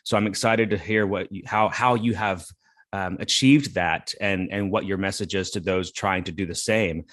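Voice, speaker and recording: A man's voice: deep voice, fairly even intonation, moderate pace, a bit bright. A man hosting an interview podcast, asking questions in a friendly, earnest way with frequent fillers. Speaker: podcast host. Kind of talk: interview conversation.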